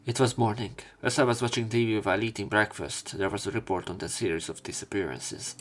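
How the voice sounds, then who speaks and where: A man reading visual novel narration aloud as a let's play commentator, with halting casual asides where he corrects himself. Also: on the low side.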